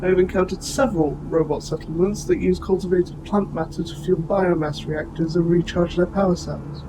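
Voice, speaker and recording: Man playing a formal, higher-voiced robot soldier. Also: steady tone, background noise, close to an everyday voice. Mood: calm, informative. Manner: formal, precise, measured phrasing. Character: aging male military robot soldier, formal, gently protective caretaker. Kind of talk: scripted audio roleplay spoken to the listener. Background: music.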